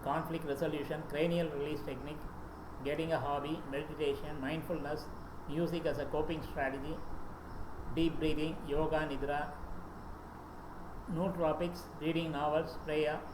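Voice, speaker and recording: A man's voice: a little high, flat delivery, normal speed. A man with an Indian English accent, a native Tamil speaker, giving a presentation and reading out lists with occasional fillers and restarts. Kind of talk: lecture presentation. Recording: echoey room with background noise.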